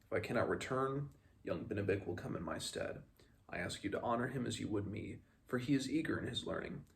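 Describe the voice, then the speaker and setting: A man's voice: fairly low pitch, fairly quick, creaky. A man reading the part of a solemn old shaman who recites formally in a fantasy storybook. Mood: solemn farewell. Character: solemn old male shaman reciting his death song.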